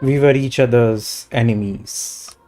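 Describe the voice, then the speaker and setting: Indian guy streaming a game, hindi speaker, casual commentary, medium pitch, music in the back. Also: takes it slowly, darkish tone.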